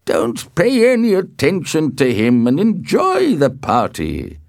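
A man's voice: a little high, huge pitch swings, slow, gravelly, darkish tone, dry recording, studio quality, voice pushed up, heavily acted. A man voicing an angry, commanding older nobleman in a storybook, scolding with authority.

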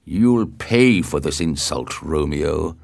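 Man as proud young noble, hot-tempered, vengeful, indignant, slow deliberate storybook delivery. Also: rumbling low, animated, gravelly, a little mellow, dry recording, clean studio sound, heavily acted.

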